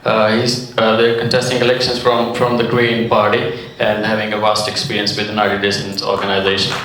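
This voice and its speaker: A man introducing panel candidates one by one in a steady, formal way. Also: lowish voice, fairly quick, some chatter behind. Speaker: co-host announcer. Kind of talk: reading introductions. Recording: distant voice in an echoey hall.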